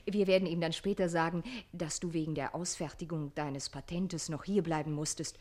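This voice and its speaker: An actress playing a proud, wistful countess in a German radio play, with refined, theatrical, dignified delivery. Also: female voice, low voice, steady tone, normal speed, a little mellow, close to an everyday voice. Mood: composed, coy. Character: proud, wistful countess, neglected aristocratic wife.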